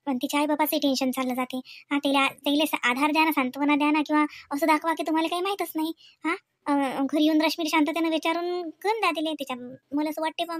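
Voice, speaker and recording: A woman's voice: sits high, dry recording, studio quality, lightly acted. A woman playing an earnest sister-in-law in a cartoon, coaxing and preaching in a Vidarbha Marathi accent with frequent questioning tags. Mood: gentle persuasion. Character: earnest, persuasive sister-in-law counselling a young man. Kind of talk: cartoon dialogue in rural dialect.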